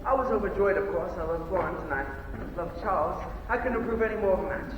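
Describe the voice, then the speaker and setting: Young man playing a high-voiced blustering gentleman, very echoey. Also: fast talker, highs cut off, noisy background, natural voice. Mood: earnest. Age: teenager. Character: upper-class gentleman, blustering, indignant, melodramatic. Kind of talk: stage farce acting in rehearsal. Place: large indoor high school rehearsal space. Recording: very echoey hall, distant mic.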